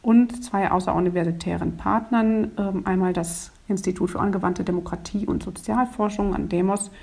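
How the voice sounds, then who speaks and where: Woman project lead, kinda husky and gravelly, calm formal explaining. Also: medium pitch, moderate pace. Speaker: project leader.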